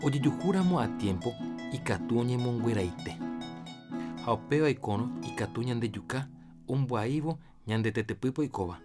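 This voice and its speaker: A man with a Paraguayan accent giving scripted health education as a radio presenter, in a didactic, deliberate way. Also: mid-range voice, expressive. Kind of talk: scripted educational narration in Jopara. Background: guitar music.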